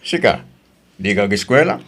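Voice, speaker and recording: A man's voice: fairly low pitch, lively intonation, moderate pace, fairly bright tone. A man with an Ilocano accent playing an inquisitive, easygoing older landlord in an audio drama. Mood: curious, probing.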